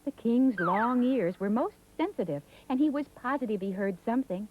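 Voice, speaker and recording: Female fairy tale narrator, fairly high voice, narrow phone-like recording, scripted storybook delivery. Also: expressive, moderate pace, slight background noise. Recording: thin phone-like sound, music behind. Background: music.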